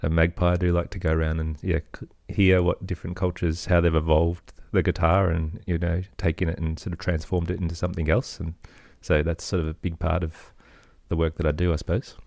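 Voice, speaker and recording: A man's voice: deep voice, little pitch movement, a little fast, gravelly, a little mellow, no echo. A middle-aged man with an Australian accent, chatting casually as an interview guest in a tentative, rambling way. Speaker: podcast guest, musician.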